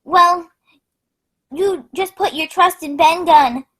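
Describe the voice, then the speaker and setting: Squeaky, half-mad castaway Gunn. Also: female voice, takes it slowly, fairly bright tone, full character voice. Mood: excitable, wary. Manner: rambling, whooping, folksy. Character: Ben Gunn, excitable half-mad marooned old pirate castaway.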